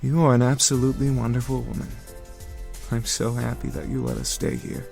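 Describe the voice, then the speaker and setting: A gentle, courteous young suitor. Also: male voice, medium pitch, sing-song, fairly quick, creaky, faint music behind. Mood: tender. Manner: courteous, soft-spoken. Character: polite, gentle young man, caring romantic lead. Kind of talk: visual novel voice acting.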